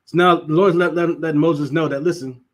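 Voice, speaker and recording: A man's voice: fairly high pitch, fairly even intonation, brisk. A man who stutters and repeats words, casually teaching a Bible class and laughing often. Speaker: teacher leading a Sabbath class.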